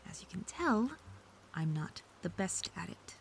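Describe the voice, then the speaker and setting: A woman's voice: lowish voice, sing-song, a little slow, gravelly, a little hiss or hum, exaggerated voice. A woman playing a snarky, defensive female hacker under interrogation in an audio drama, sarcastic and indignant. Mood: dry, sullen.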